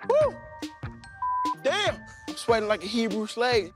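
The loud-humored young American man on a televised date, voice high and leaping around as he jokes. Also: sing-song, measured pace. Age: young adult. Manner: boisterous, jokey. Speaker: date on a reality show. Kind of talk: casual joking chat. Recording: music behind the voice. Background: background music.

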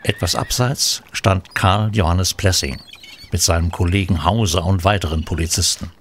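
A man narrating a radio play from a script in a measured, sombre storytelling manner. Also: sits low, fairly bright tone. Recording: clear background noise.

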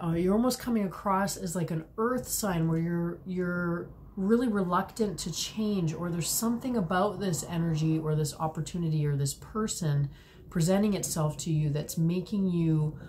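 A woman in her forties giving a tarot reading to camera at home, slightly hoarse from a cold, talking gently with many fillers and restarts. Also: low voice, a little hiss or hum. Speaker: tarot reader. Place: small room at home, indoors.

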